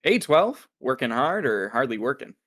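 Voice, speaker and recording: A man acts out an easygoing agent in a radio-show table read. His mid-pitched voice is friendly and wry, with a teasing, buddy-like lilt. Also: sing-song, normal speed, fairly bright tone. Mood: chipper teasing. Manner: wry, upbeat, placating. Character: easygoing, chummy male agent, teasing coworker. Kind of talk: audio drama table read.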